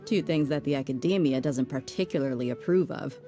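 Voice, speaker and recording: A woman's voice: very deep voice, normal speed, mellow, faint music behind. A woman voicing a wry, worldly adult in a game, making dry remarks. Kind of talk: game cutscene voice acting.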